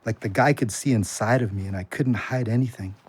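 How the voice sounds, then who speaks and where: An actor playing a hopeful, restless working-class ex-con with slangy, plain-spoken talk in an audio drama. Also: male voice, lowish voice, a little fast, husky. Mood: reflective. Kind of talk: audio drama acting and monologue.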